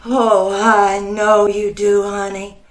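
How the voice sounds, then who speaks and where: A woman playing a flirtatious, flattering saleswoman with a coy, sugary, cooing manner in a radio comedy. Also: mid-range voice, slow, lightly acted. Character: flirtatious, flattering saleswoman sweet-talking her customers. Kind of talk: old-time radio comedy acting. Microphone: video call. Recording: echoey room over video call.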